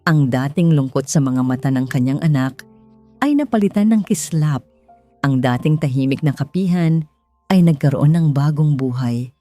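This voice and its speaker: A woman's voice: deep voice, normal speed, mellow. A woman with a Filipino accent narrating a scripted dramatic romance story, as a storyteller. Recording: music under the voice. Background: background music.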